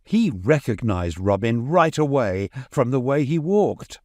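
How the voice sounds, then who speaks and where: A man narrating a scripted bedtime story for children in an expressive, unhurried storytelling manner. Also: mid-range voice, no room sound, studio quality.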